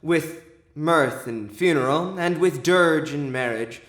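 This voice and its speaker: A man's voice: a little high, slow, a bit bright, roomy sound. A man playing a smug, jovial king addressing his court in a theatrical Shakespearean audio drama. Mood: stately, solemn. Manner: theatrical, declamatory.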